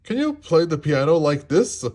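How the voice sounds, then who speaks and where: Man putting on a loud, high-pitched, excitable storybook toad. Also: moderate pace. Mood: boastful, calling out. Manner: loud, exclaiming, dramatic. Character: proud, then frantic and lonely male storybook toad.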